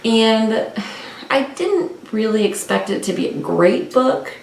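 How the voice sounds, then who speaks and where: Gravelly-edged woman chatting casually in a roomy space. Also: fairly high pitch, expressive, slow pace, a little mellow. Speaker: co-host of a book discussion vlog. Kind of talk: casual unscripted book chat. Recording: roomy, distant mic with faint noise.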